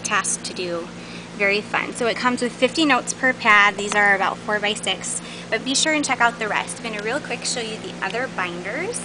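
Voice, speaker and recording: A woman with a mid-pitched voice hosts a casual, unscripted product tour. She talks in a chatty, informal way. The sound comes from a handheld camera in a warehouse, with clear background noise. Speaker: host showing new craft store products. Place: large indoor warehouse aisles. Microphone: handheld camera's built-in mic.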